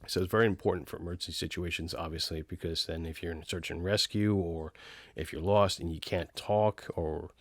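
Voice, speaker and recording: Low voiced guy doing a laid-back gear review, explains the techy stuff, really dry close sound. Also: fairly even intonation, a little fast, few pauses, dry recording. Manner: informal, explanatory, technical. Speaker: video host reviewing radios. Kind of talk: casual product review talk.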